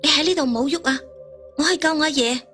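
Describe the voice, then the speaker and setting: A woman's voice: sits high, quick pace, pushed and bright, faint music behind, voice pushed up. A woman voicing a frightened, tender young woman who pleads anxiously in a narrated story. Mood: fearful, pleading.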